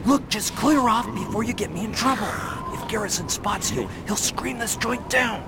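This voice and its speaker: A man's voice: sits high, normal speed, loud music behind the voice. A man playing an agitated, exasperated jailed store manager in a video game. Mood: indignant. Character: jumpy, indignant male jailed store manager. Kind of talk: game cutscene acting. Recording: loud ambient noise behind.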